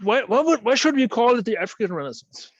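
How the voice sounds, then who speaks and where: A man's voice: extremely high pitch, very animated, fast, dry recording, muffled recording. A man hosting an online interview, talking hesitantly with many fillers and restarts. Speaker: host.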